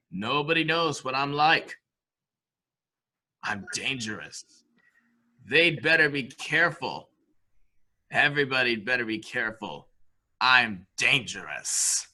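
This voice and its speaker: A man's voice: mid-range voice, lively intonation, slow pace, long pauses, sharp tone, heavily acted. A man playing a childlike, dangerously unhinged killer in a murder mystery drama, boasting in a sing-song way with repeated phrases. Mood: boastful, sulky. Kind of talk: amateur murder mystery audio drama acting.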